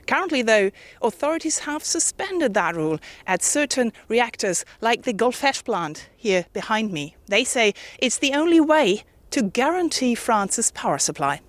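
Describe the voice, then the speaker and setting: A woman's voice: fairly high pitch, sing-song, normal speed, no echo. A woman working as a news reporter, narrating a scripted broadcast report.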